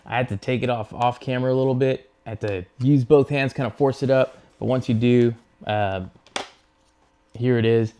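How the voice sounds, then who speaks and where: A man with a soft-edged, mellow voice at a middling pitch walks through a hands-on gear install. He talks casually, as host of his own how-to video, taking each step without hurry while his hands are busy. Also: steady tone, moderate pace, smooth. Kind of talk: casual hands-on tutorial narration.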